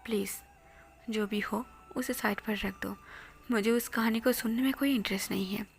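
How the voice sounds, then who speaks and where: A woman's voice: mid-range voice, steady tone, normal speed, vocal fry, close to an everyday voice. A woman whispering the lines of a possessive, jealous rich young man in a storybook tale. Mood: pleading. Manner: soft whispering, pleading then menacing.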